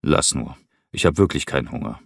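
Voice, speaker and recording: A man's voice: very deep voice, brisk, creaky, no room sound, studio quality, full character voice. A man voicing a tired, withdrawn man in an audiobook, speaking in a subdued, hesitant way.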